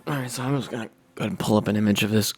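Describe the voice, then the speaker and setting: Creaky-voiced man reading over faint guitar. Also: on the low side, racing, a little mellow. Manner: hesitant, rambling, frequently clears throat. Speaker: reader of a humor article. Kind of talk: reading aloud with casual off-the-cuff commentary.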